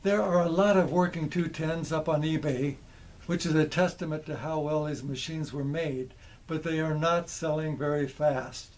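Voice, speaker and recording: An older man in his seventies narrating a scripted demonstration in a measured, methodical way. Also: fairly high pitch, fairly even intonation, darkish tone. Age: older, around 70s. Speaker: presenter of vintage electronics. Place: small indoor electronics workshop.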